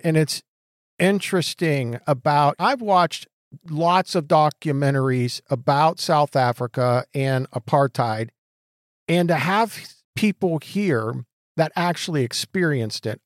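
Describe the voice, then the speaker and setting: A man's voice: on the high side, no echo, clean studio sound. A man hosting an interview, thanking his guests and asking them about their childhoods. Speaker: host. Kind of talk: interview host.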